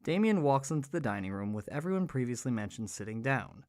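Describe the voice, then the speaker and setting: A man's voice: medium pitch, sing-song, quick pace, darkish tone. A man narrating a scripted story as the narrator of an audio drama.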